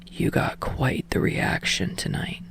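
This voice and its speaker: A woman voicing a blunt, unimpressed male film director in an audiobook, quiet, breathy and flat. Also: slow, a little hiss or hum, lightly acted. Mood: dry, unimpressed. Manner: dry, flat, deadpan.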